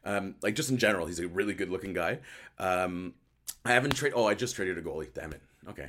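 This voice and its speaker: A man with a hoarse voice hosting a casual live sports chat show, joking and thinking aloud as he answers viewers. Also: low voice, expressive, fast talker, fairly bright tone, slight background noise. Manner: joking, conversational, thinking aloud with repeated words. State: hoarse voice after a night outdoors. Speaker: host of a hockey post-game recap show.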